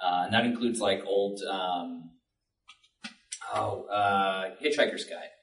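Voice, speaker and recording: A man's voice: lowish voice, slow pace, a bit bright. A man chatting casually as a panelist in a live discussion. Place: panel at a public library, indoors. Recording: roomy, distant library event recording.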